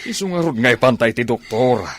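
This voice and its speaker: A man acting as a wealthy elderly gentleman in a radio drama, flustered and eager to help. His pitch moves around a lot, and he speaks with an Ilocano Filipino accent. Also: lively intonation, fairly quick, a little hiss or hum, lightly acted. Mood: flustered, helpful. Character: flustered, obliging rich old man with a car.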